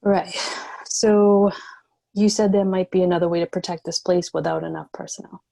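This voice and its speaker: Woman acting a low-voiced, guarded resistance leader. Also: fairly even intonation, normal speed, gravelly, dark tone. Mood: questioning. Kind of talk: screenplay table read, understated dramatic acting.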